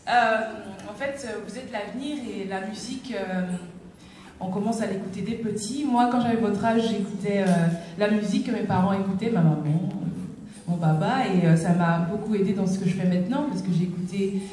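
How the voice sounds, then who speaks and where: A young woman singer addresses a class of children, stopping often to search for words. She is picked up from a distance in a large hall full of echo, with steady noise behind her. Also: mid-range voice, normal speed. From Guadeloupe. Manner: hesitant, many fillers and restarts. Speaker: singer visiting a school. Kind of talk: informal talk to schoolchildren. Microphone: distant microphone. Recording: echoey hall from far off, background noise.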